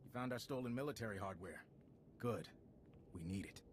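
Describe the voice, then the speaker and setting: An actor playing a brisk male security agent commander in a video game, giving urgent, businesslike orders. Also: lowish voice, animated, a little hiss or hum, close to an everyday voice.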